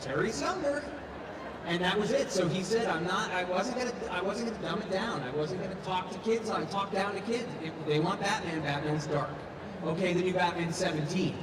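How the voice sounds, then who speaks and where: A man with a somewhat high voice speaks as a panelist in a big hall, sounding distant and echoey. He talks emphatically, repeating phrases to drive points home. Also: fairly quick, noisy background. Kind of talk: animated convention panel talk. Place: large convention hall panel.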